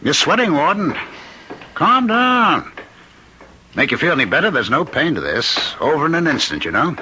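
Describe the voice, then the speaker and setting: An actor playing a taunting condemned killer in an old radio drama, mocking and theatrically calm. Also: male voice, a little high, normal speed, heavily acted. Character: taunting condemned killer, man claiming to be immortal Ripper. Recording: noisy old radio recording with clicks.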